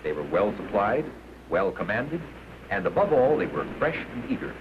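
A man giving formal, measured, emphatic scripted narration in the manner of a 1950s educational film. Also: on the low side, sing-song. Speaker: documentary narrator. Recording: dull, noisy 1950s film soundtrack.

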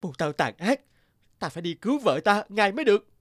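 A man's voice: high voice, big pitch swings, brisk, dry recording, clean studio sound. A man playing a brave, earnest young husband and hero in a cartoon fairy tale, speaking with resolve. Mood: resolute. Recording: music under the voice.

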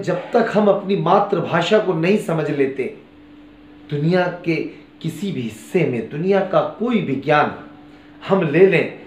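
A man's voice: medium pitch, a little mellow. A middle-aged man teaching a lesson on a poem to students, talking earnestly and repeating key phrases, on a lapel mic. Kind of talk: classroom lesson explaining a poem. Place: studio with green screen background. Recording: roomy studio with faint noise.

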